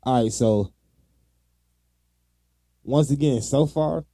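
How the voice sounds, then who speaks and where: A man's voice: mid-range voice, slow pace, mellow, no echo, clean studio sound. A man co-hosting a podcast, chatting casually about true crime in informal, slangy language. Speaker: podcast co-host.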